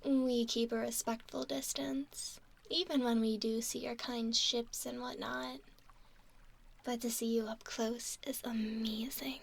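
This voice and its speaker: A woman's voice: on the high side, flat delivery, unhurried. A woman voicing an alluring, mischievous young mermaid in a whispery ASMR roleplay, coy and teasing as she speaks. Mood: fascinated, teasing. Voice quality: breathy, often whispery.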